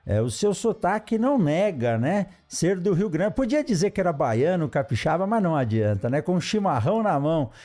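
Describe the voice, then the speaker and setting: A man's voice: on the high side, very animated, normal speed, few pauses. A man hosting an agriculture podcast, introducing his guest with effusive praise and frequent tag questions. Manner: warm, effusive with frequent "né" tags and restarts. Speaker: podcast host. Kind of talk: interview introduction.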